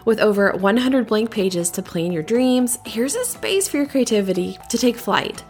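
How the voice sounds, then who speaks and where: A woman's voice: fairly high pitch, expressive, normal speed, a little mellow, soft background music. Upbeat woman reading an ad. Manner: upbeat, promotional. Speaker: ad reader. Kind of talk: scripted ad read.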